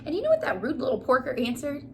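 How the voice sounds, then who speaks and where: A woman's voice: high-pitched, huge pitch swings, quick pace, pitched above the performer's own voice, heavily acted. Lady doing a wronged wolf telling his side of a kids story, chatty n dramatic, noisy home audio. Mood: dramatic, aggrieved. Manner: conversational, dramatic, emphatic storytelling. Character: aggrieved, self-justifying male wolf telling his own story. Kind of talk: children's storybook read-aloud.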